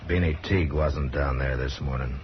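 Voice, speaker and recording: An actor playing a calm, grave frontier lawman who questions in terse, measured phrases, in 1950s radio western style. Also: very deep voice. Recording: muffled, noisy vintage radio recording.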